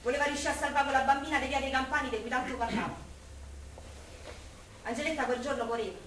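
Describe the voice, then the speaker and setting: A woman's voice: high-pitched, steady tone, quick pace, a bit bright. An actress playing a passionate working-class Roman woman of the wartime forties in an Italian stage drama. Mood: sorrowful, narrating. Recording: echoey theatre, distant mic, faint noise.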